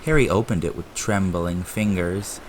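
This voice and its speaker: A man's voice: deep voice, moderate pace, noisy background. A man reading scripted narration for an audio drama, as the storyteller.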